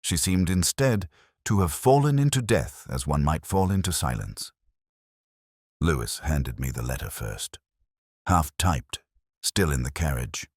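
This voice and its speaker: A man with an extremely low voice reads a first-person detective story. His delivery is measured and reflective, with lively rises and falls in pitch, and the sound is dry and close, free of room echo. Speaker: narrator.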